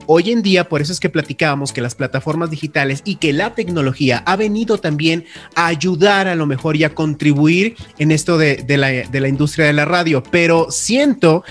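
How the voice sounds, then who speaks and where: A man's voice: a little high, a little fast, soft background music. A man, a radio host speaking reflectively and earnestly in a casual panel chat, with many restarts.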